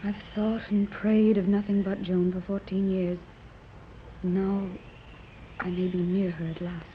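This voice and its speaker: A woman's voice: medium pitch, level tone, measured pace, lightly acted. An actress playing a wealthy, gracious and anxious mother in a 1930s radio serial, earnest and refined. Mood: anxious, hopeful. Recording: dull, noisy 1930s radio recording.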